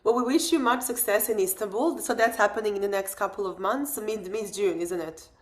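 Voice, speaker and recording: A woman's voice: mid-range voice, fairly quick. A woman hosting an interview podcast, asking questions in a friendly, encouraging way. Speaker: podcast host. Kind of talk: casual interview talk.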